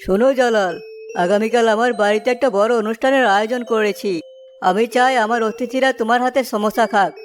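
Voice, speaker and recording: A woman's voice: a little high, fast, faint music behind, lightly acted. A woman voicing a wealthy, commanding father in a cartoon story. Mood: commanding, approving.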